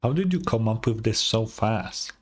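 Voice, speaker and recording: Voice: medium pitch, lively intonation, moderate pace, slight background noise. A game streamer giving casual live commentary on a story scene, with informal, wry asides. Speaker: streamer reacting to a video game. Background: game music.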